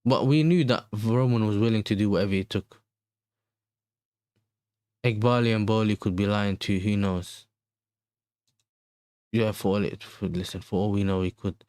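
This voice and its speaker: Slangy London man, lowish voice, close dry sound. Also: steady tone, normal speed, pauses often, darkish tone, clean tone, no echo. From Netherlands. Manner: slangy, conversational, repeats himself. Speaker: livestream host and football fan. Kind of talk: casual livestream chat answering viewers.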